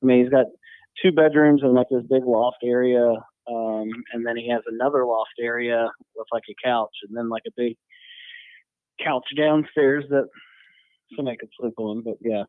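A man with a hoarse, slightly raspy voice, rambling laid-back in casual chat. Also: mid-range voice, steady tone, normal speed, no room sound. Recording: tinny phone-like line.

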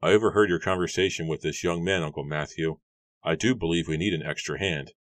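A man's voice: deep voice, fairly quick, dry recording, close to an everyday voice. A man voicing an injured young man, the farmer's nephew, who speaks dryly and firmly. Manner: dry, firm.

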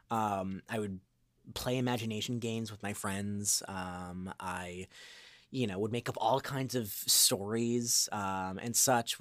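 A man's voice: on the low side, no room sound. A young man speaking as a guest voice actor in a casual interview, with a hesitant, rambling delivery.

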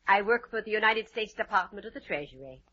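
A woman's voice: medium pitch, animated, fast talker, sharp tone. An actress playing a prim, blunt, tactless lady government clerk, stiff and literal, in an old-time radio drama. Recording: dull vintage radio recording.

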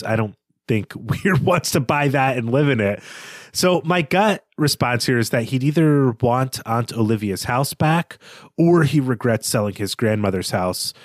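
A man's voice: medium pitch, dry recording, studio quality. A man co-hosting a book podcast, reasoning aloud in a reflective, tentative, conversational style. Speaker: podcast co-host. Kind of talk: conversational literary analysis.

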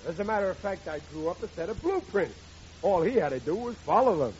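An actor playing a blundering, excitable middle-aged working-class American father in a 1940s radio comedy. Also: high-pitched, fairly quick. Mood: boastful. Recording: muffled, noisy old radio recording.

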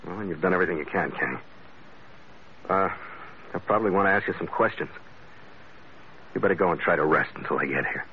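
An actor playing a tough, calm skipper turned detective in an old radio drama, terse and matter-of-fact, narrating and speaking in character. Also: male voice, low voice, a little fast, pauses often. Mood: businesslike. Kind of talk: 1940s-50s radio drama acting, first-person narration and dialogue. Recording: muffled, noisy old radio recording.

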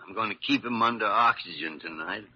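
A man acting a crusty, sharp-tongued senior doctor in a classic radio drama, with a gruff, raspy voice. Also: on the low side, brisk. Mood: worried. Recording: muffled old radio recording.

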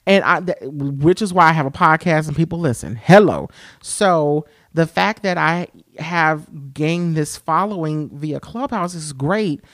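Man podcast host, high-pitched voice, candid solo talk, dry close sound. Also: measured pace, no room sound. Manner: frank and expressive, with frequent "you know" fillers.

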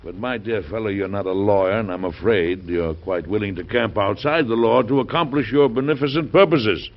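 An actor playing a genial middle-aged American president and husband in an old-time radio drama, speaking formally with a hearty, deliberate manner. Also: male voice, lowish voice, close to an everyday voice. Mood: firm, courteous. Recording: dull, noisy old radio recording.

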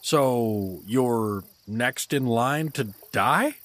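An actor playing a good-natured, blundering adventurer in a fantasy audio drama, awkward, apologetic and expressive. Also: male voice, mid-range voice, very slow. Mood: blunt curiosity.

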